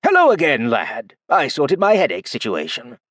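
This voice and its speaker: A man's voice: medium pitch, wildly swooping pitch, moderate pace, fairly bright tone, no echo, studio quality, pitched above the performer's own voice. A man voicing a sly, playful old male cultivator who teases with feigned innocence. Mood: wry.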